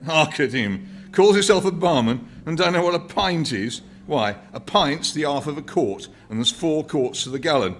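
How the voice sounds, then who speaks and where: A man's voice: a little high, lively intonation, normal speed, hardly any pauses, a little hiss or hum, voice pushed up, lightly acted. A man voicing a grumbling, pugnacious old man in a story reading, with colloquial, complaining speech. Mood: indignant, pugnacious. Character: pugnacious, grumbling old working-class English prole man.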